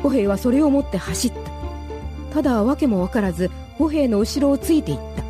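A woman's voice: fairly high pitch, expressive, brisk, music in the background. A woman narrating a radio drama as a scripted, dramatic story.

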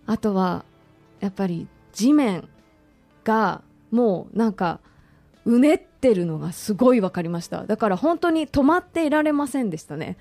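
A woman's voice: medium pitch, very animated, normal speed, dark tone, dry recording, faint music behind. A woman talking conversationally as a radio guest, politely and expressively sharing personal recollections.

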